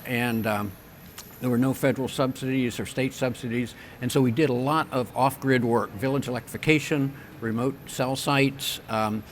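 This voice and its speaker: An older man, a company president, answering interview questions on a conference stage in a measured, engineer-like way with frequent ums and restarts. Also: mid-range voice, a little hiss or hum. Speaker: wind turbine company president.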